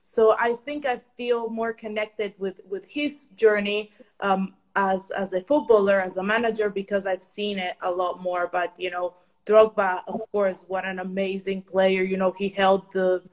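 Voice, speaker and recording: Guest: woman from Ecuador, football consultant; measured, hesitant delivery with restarts. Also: mid-range voice, fairly even intonation. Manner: thoughtful, many ums and restarts. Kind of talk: interview answers.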